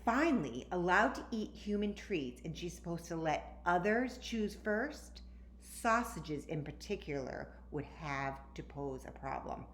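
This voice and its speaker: A woman reading a children's story aloud with animated, emphatic narration. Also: fairly low pitch, a little slow. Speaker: storytime reader. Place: indoor room, recorded at home. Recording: roomy, echoey, faint background noise.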